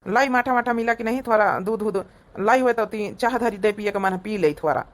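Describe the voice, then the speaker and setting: A woman's voice: mid-range voice, fairly quick, close to an everyday voice. A woman voicing an ailing village husband in a cartoon comedy, consoling and homely, with an Awadhi accent. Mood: concerned.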